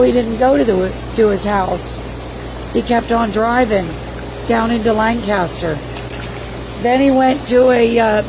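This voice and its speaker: A hoarse woman hosting a livestream, rambling and cursing as she recounts events and reads viewer comments. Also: fairly high pitch. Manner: rambling, profane, exclamatory. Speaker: livestream host. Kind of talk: casual livestream recap reading viewer comments. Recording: noisy background.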